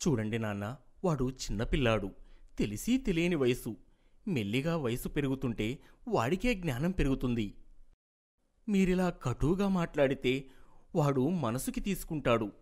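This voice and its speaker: A man's voice: medium pitch, expressive, moderate pace, pauses often, a little mellow, natural voice. Man as a protective, reasoning elder brother. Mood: placating. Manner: reasoning, placating, advising. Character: responsible, protective, affectionate elder brother and dutiful son. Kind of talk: dramatised moral story for animation.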